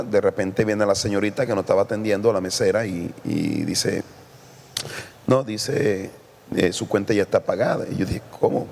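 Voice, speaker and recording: A man from Mexico, a guest pastor, telling lively anecdotes with quoted dialogue in a casual church podcast. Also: fairly low pitch, vocal fry, big-room sound, a little hiss or hum.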